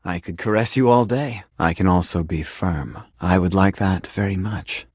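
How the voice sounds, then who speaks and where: A man's voice: deep voice, moderate pace, a little mellow, dry recording, studio quality. A man voicing a pompous, melodramatic talking sink in a video game, with the formal diction of a self-important claims adjuster. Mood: suave, flirtatious. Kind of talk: video game character acting.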